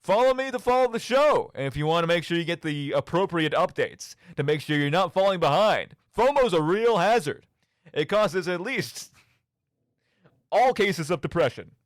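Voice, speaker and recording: Young male radio host, lively swooping delivery, dry close sound, casual and jokey rambling. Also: high voice, moderate pace, no room sound. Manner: rambling, jokey, self-deprecating. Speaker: radio show and podcast host. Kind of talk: casual solo commentary on video games.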